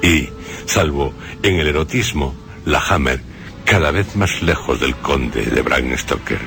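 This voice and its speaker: A man's voice: very deep voice, expressive, unhurried, vocal fry, a bit bright, faint music behind. A man giving scripted film commentary on the radio, with personal asides. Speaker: radio commentator on horror films.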